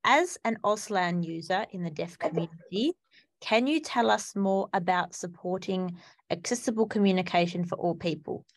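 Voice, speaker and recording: A woman's voice: fairly low pitch, normal speed, no echo. Woman host asking questions. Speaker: podcast host. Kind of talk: interview questions.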